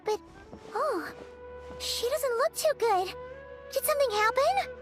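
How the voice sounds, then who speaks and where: A very high, squeaky little fairy companion from a video game. She is chirpy and childlike and draws her words out slowly, over soft music. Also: animated, full character voice. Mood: worried.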